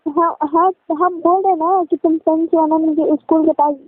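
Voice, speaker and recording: A woman's voice: very high voice, steady tone, moderate pace, no room sound. A young woman, chatting casually and teasingly on a recorded phone call. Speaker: caller. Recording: tinny, band-limited phone call recording.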